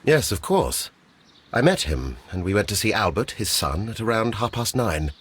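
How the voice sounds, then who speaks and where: An actor playing a brusque office gentleman in a video game, speaking formally and curtly with a husky voice. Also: fairly low pitch, sing-song, normal speed, exaggerated voice. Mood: matter-of-fact. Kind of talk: scripted game dialogue, giving testimony.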